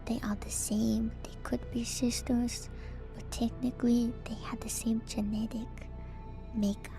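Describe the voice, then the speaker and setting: A woman streamer whispering softly and breathily in an ASMR style, musing gently and hesitantly through game lore commentary close to the microphone. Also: on the high side, flat delivery, a little slow. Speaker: streamer, VTuber host. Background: music.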